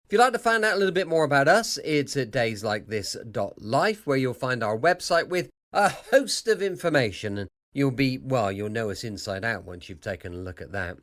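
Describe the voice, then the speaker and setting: A man's voice: mid-range voice, sing-song, moderate pace, hardly any pauses, a bit bright. A British man casually introducing his podcast, his voice slightly worn as if going. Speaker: podcast host. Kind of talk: casual, chatty show introduction. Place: home studio.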